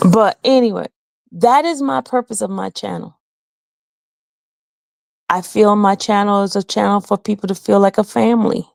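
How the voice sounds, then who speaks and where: A woman streamer speaks from the heart to her viewers, earnest and personal, her voice carrying a gravelly fry at a middle pitch. The sound is dry and close, with no room echo. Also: a little mellow. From Haiti. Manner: earnest, heartfelt, reads chat aloud. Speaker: channel host. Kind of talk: casual livestream talk to viewers.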